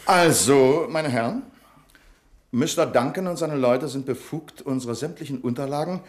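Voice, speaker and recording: An actor playing a pedantic, self-important bank manager in a radio crime comedy, pompous and officious. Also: male voice, mid-range voice, moderate pace, lightly acted. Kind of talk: 1970s German-language radio crime comedy acting.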